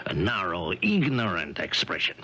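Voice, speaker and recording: A genial police chief in an old radio drama. He speaks in a forceful, slightly rough voice that moves up and down in lively swings. His manner is courtly and theatrical as he carefully explains things. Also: low-pitched, moderate pace, dull audio, heavily acted. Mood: knowing, courteous. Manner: courtly, hesitant, chuckling, explanatory. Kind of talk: 1970s radio drama acting. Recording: vintage 1970s radio broadcast.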